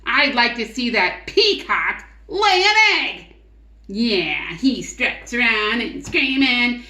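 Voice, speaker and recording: A woman's voice: on the high side, sing-song, slow, sharp tone, voice pushed up, full character voice. A woman voicing a fussy, vain farm hen from a storybook, exclaiming showily, in an echoey room. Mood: indignant, jealous. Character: indignant, jealous farm hens, later giddy and vain. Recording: roomy echo.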